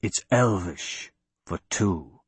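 A man voicing a cold, vengeful male elf assassin in a storybook, hissing quiet threats slowly. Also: on the low side, animated, slightly rough, no echo, voice pushed down. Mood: menacing whisper. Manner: hissed, slow, quiet.

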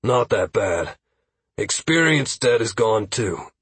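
Worn-out young-hero type, read by a male narrator: downcast, breathy, husky, fantasy audiobook. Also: on the low side, very animated, slow pace, a bit bright, dry recording, heavily acted. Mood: relieved. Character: drained, relieved male adventurer sick from resurrection.

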